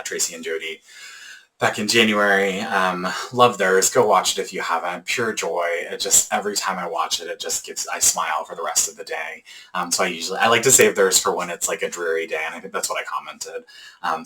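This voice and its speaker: Guy rambling on his podcast, low voice but bright and forceful, lots of ums. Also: low-quality recording. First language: English. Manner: rambling, chatty, many ums and restarts. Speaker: podcast host. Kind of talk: casual podcast chat.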